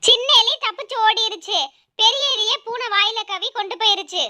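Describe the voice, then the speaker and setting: A woman's voice: extremely high pitch, normal speed, pushed and bright. A woman narrating a scripted children's animal story.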